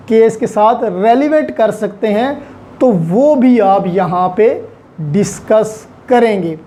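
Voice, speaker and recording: A woman's voice: on the high side, warm tone. A woman with a Pakistani accent lecturing on law in a didactic way, mixing in English terms and repeating key points for emphasis.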